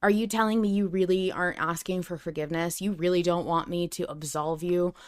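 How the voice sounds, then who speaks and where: A woman's voice: on the low side, fairly even intonation, fairly quick, smooth, dry recording, clean studio sound. A woman co-hosting a podcast, talking casually and emphatically and swearing freely as she discusses a story. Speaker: podcast co-host. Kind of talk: casual fan discussion chat.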